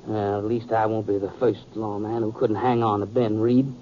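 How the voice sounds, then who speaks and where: An actor playing a proud, wounded young sheriff in a radio western, terse, earnest and intense. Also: male voice, fairly low pitch, flat delivery, moderate pace, dark tone, lightly acted. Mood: bitter, stubborn. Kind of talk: 1950s radio western acting. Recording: muffled, noisy 1950s radio recording.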